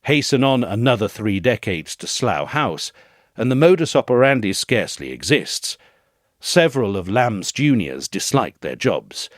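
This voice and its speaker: Deep-voiced man reading with expressive range. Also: moderate pace, no room sound, studio quality. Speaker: narrator reading an essay aloud. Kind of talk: scripted literary essay narration.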